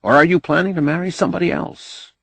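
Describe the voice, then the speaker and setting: A man's voice: fairly high pitch, fairly even intonation, quick pace, creaky, a bit bright, slightly rough, no echo, voice pushed up, heavily acted. A man playing an earnest, insistent, pleading bachelor in love in an audiobook. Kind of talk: audiobook character dialogue.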